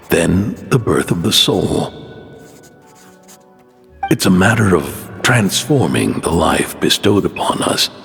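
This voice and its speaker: A man voicing a doting, philosophical inventor father in a video game, reading letters in a soft, measured, tender voice-over. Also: deep voice, gravelly, exaggerated voice. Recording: long reverb on the voice.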